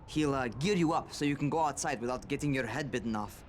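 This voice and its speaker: A man's voice: mid-range voice, fast, a little hiss or hum, natural voice. An actor playing a brash young male survivor and trainer in a video game, talking informally. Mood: casual, advising. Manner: foul-mouthed, informal.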